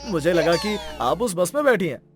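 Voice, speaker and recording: A sneaky young husband with three secret wives in a cartoon story, as a performer voices him, talking his way out of trouble. His voice sits at a middling pitch but swings up and down a lot. Soft music plays behind. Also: animated, normal speed, close to an everyday voice. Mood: evasive. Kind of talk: cartoon voice acting.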